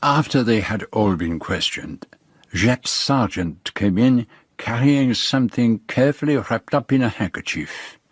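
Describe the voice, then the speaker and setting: A dapper, courteous detective with a French-speaking Belgian accent. His slightly husky voice is formal and precise, quietly self-assured, suited to radio drama that he also narrates. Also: medium pitch, unhurried. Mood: measured narrating.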